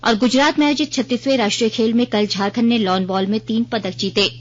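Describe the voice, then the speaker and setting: A woman's voice: on the high side, fairly even intonation, fast. Woman reading radio news, dull lo-fi sound. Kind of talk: formal scripted Hindi news bulletin reading.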